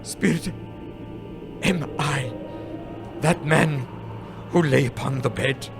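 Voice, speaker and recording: An actor playing an old miser, pleading desperately and slowly with a ghost in a live radio play. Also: male voice, on the high side, creaky, music in the background. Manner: desperate, pleading, slow and grave.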